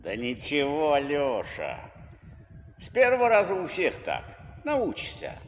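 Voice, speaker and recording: A man's voice: on the high side, huge pitch swings, measured pace. An actor in a Russian radio play playing a kindly, folksy older seaman who rambles and encourages. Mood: kindly, musing. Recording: old radio play, reverberant, faint noise, ambience.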